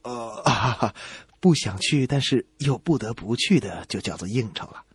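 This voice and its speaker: A man with a husky, rough voice, co-hosting a comedy radio show with animated joke telling and banter. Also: mid-range voice, normal speed, no echo.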